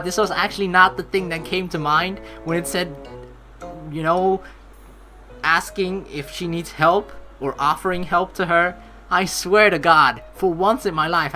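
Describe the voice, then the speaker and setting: A woman doing let's play commentary, expressively reading the narration aloud and reacting to it, with game music in the background. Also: medium pitch. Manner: expressive, exclaiming, reacts out loud. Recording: faint game music behind the voice.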